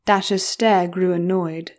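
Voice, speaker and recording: A woman's voice: on the low side, fairly even intonation, takes it slowly, darkish tone, soft background music. A woman narrating a story in a dramatic, scripted reading style.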